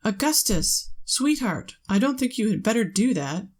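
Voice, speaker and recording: A frantic mother from a children's storybook, acted out by a woman reader. Her voice leaps high as she shouts, scolds and pleads in alarm. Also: animated, normal speed, slight background noise, lightly acted. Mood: alarmed scolding. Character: frantic, shrill mother of a greedy boy. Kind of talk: storybook character voice.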